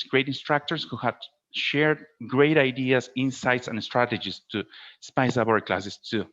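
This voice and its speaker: Male webinar trainer. Forceful, bright delivery with frequent check-ins to viewers; dull online-call sound. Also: fairly low pitch. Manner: checks in often with "okay?". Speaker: teacher trainer, webinar presenter. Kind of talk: informal webinar lecture, addressing viewers.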